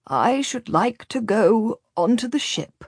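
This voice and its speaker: A woman's voice: a little high, animated, breathy edge, dry recording, clean studio sound, voice pushed up, exaggerated voice. Woman voicing an earnest, trembling friar. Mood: insistent. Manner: slow, insistent, indignant.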